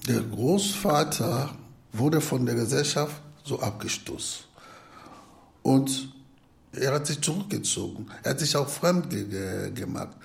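Gravelly, slow-speaking man. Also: mid-range voice, expressive. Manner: halting, short phrases. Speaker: participant retelling a film story for a radio play. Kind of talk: reflective spoken commentary.

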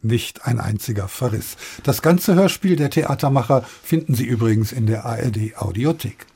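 Male broadcast interviewer, mellow tone, husky edge, articulate, composed questioning. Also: mid-range voice, lively intonation, moderate pace. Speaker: radio interviewer, host.

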